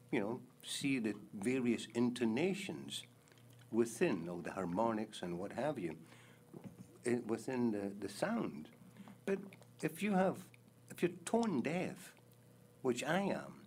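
An older man with a Scottish accent explaining things deliberately in a casual chat, the host's father. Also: mid-range voice, a little mellow, slight background noise. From Scotland.

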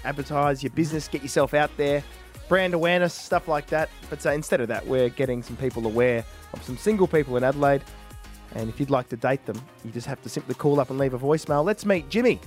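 A man's voice: mid-range voice, fast talker, soft background music. An Australian man presenting casually as a radio host.

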